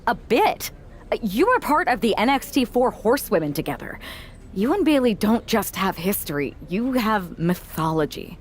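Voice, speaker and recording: A woman's voice: mid-range voice, very animated, normal speed, slight background noise, natural voice. A woman playing a guarded team-leader wrestler in a video game, speaking in a casual, sardonic way. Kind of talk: scripted video game cutscene dialogue.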